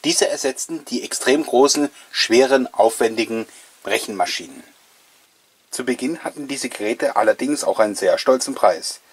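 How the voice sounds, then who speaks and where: A man's voice: medium pitch, normal speed, slightly rough. A man, giving chatty, playful, nostalgic commentary as the host of a casual video show. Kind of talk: casual, jokey commentary on an old mail-order catalogue.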